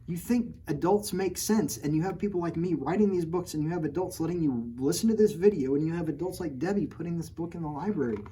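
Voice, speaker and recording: Playful man reading to kids, mellow voice. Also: high-pitched, husky. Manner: playful, jokey, mock-outraged, talking straight to children. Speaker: children's author reading his own book. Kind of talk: storytime read-aloud with playful asides to kids.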